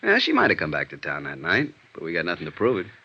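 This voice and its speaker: Very deep-voiced deadpan police sergeant. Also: male voice, quick pace, breathy edge, exaggerated voice. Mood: matter-of-fact. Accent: American. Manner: terse, deadpan case reporting. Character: gruff, matter-of-fact male police detective sergeant. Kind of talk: vintage radio detective drama acting. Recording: muffled vintage radio recording.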